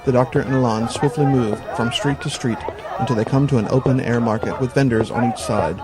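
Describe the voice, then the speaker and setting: A man reading scripted narration as the narrator of an audio drama. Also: mid-range voice. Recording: music bed behind voice.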